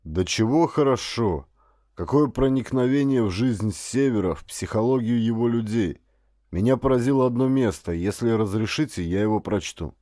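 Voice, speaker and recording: Man reading as grave, mellow-voiced learned father. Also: mid-range voice, normal speed, dry recording, studio quality, lightly acted. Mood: admiring. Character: stern, demanding scientist father reading aloud gravely.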